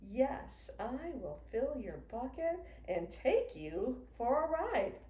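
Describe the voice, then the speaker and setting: A woman in her sixties, a children's author, reading a picture book aloud to young children in an expressive, sing-song way. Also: high voice, slow. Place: indoors in a home room with a wooden desk. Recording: roomy sound with faint noise.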